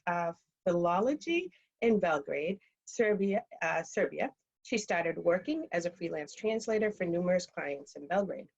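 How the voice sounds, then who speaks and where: A woman's voice: mid-range voice, darkish tone. Woman moderator reading panel bios. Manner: reads bios steadily, with frequent ums. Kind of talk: reading speaker introductions on a video call. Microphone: video call. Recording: dull, lo-fi call audio.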